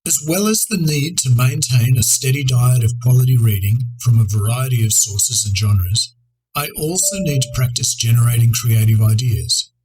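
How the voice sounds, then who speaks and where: Male narrator for an educational piece: medium pitch, articulate, deliberate delivery of scripted advice. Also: darkish tone, rough recording. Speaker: writer presenting an educational video.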